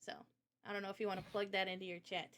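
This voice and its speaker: A woman's voice: medium pitch, flat delivery, racing, fairly bright tone. A young woman presenting casually on a livestream, chatty, informal and enthusiastic, like a developer showing off a project.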